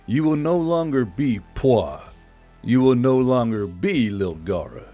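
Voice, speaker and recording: A resolute military drill sergeant from a science fiction audio drama, acted by a man. He speaks slowly and solemnly, as if leading a ceremony, at a medium pitch over a music bed. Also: animated, dark tone, natural voice. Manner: measured, solemn, ceremonial delivery.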